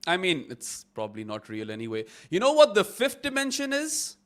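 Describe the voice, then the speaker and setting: A man's voice: sits high, huge pitch swings, a bit bright. A man in his thirties hosting a casual watchalong chat, teasing his guest and slipping in cricket updates. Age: 30s. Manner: teasing, quick laughing banter. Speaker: watchalong host.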